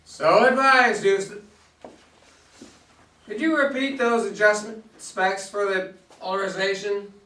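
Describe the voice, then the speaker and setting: A man playing a joking, scatterbrained astronaut in an amateur play reading, with halting, slightly muddled radio talk. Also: high voice, steady tone, pushed and bright. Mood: businesslike. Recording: echoey room, distant microphone.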